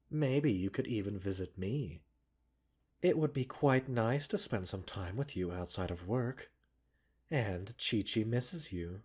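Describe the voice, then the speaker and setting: A man playing a gentle, caring doctor in a roleplay ASMR, speaking softly and breathily with measured, polite, gently chiding formality. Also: fairly low pitch, exaggerated voice. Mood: fond, reassuring. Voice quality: soft, breathy. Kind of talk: roleplay ASMR, scripted character acting.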